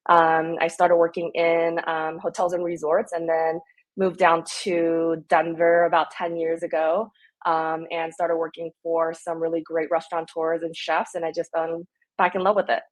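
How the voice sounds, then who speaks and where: A woman's voice: deep voice, completely flat delivery, normal speed. A woman in her thirties, born in Korea, talking upbeat and enthusiastic as a restaurant-owner guest in a casual podcast interview.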